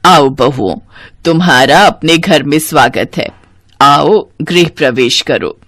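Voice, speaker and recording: A woman voicing an older, ceremonious mother-in-law in a moral story, with a measured, welcoming manner. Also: sits low, a bit bright, a little hiss or hum, pitched below the performer's own voice. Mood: proud, welcoming. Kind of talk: dramatized Hindi moral story.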